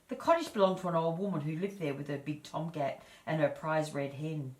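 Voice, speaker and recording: A woman storyteller reading a children's fairy tale aloud in a measured, expressive way. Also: low voice. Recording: echoey room.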